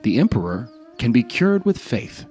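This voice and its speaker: A man voicing a grave, reluctant Sufi healer in a folk tale, solemn and deliberate. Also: mid-range voice, sing-song, mellow, faint music behind, close to an everyday voice.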